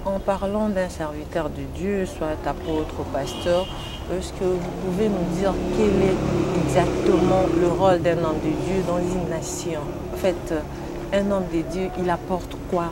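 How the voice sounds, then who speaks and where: A woman's voice: on the low side, steady tone, measured pace. A woman interviewing a pastor, polite and thanking him often, with hesitant fillers between questions. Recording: noisy background.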